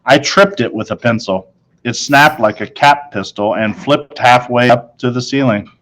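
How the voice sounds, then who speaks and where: A man narrates a children's book out loud. His voice is mid-pitched, and he tells the story in the first person as a storybook reader. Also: moderate pace, low-quality recording. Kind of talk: read-aloud story narration.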